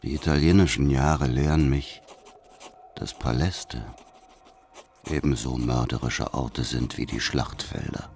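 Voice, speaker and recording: A secretive spy confiding in his diary. His voice is extremely low and creaky, moving slowly and with brooding menace through a radio play monologue. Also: fairly even intonation, darkish tone, a little hiss or hum. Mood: brooding, ominous. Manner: measured, brooding, ominous. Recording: music under the voice.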